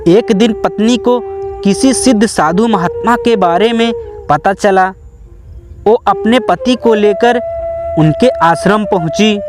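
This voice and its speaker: A man narrating a scripted moral tale in a measured, deliberate way, phrase by phrase. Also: sits high, big pitch swings, over music. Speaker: storyteller.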